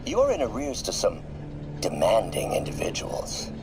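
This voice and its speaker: Brooding older mad scientist game villain, super slow n deep, articulate, whispery menace. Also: male voice, wildly swooping pitch, creaky, background noise, full character voice. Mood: persuasive, measured. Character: brooding older male scientist turned villain, bitter, scheming, persuasive. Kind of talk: dramatic recorded monologue in a video game.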